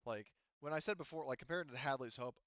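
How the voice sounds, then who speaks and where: A man acting as game master, narrating a roleplaying game in a halting, conversational, improvised way. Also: medium pitch, fairly bright tone, dry recording, muffled recording.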